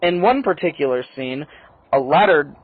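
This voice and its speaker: Man with a husky voice, blunt review commentary. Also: a little high, lively intonation, normal speed, narrow, tinny audio. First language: English. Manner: blunt, casually profane. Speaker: video movie reviewer. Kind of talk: opinionated casual review commentary.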